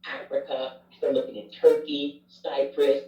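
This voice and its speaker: A man's voice: medium pitch, little pitch movement, normal speed, mellow. Reflective guy chatting in an interview, kinda far from the mic, dull roomy sound. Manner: candid, reflective, self-deprecating. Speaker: chaplain, former youth pastor. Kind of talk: casual interview storytelling.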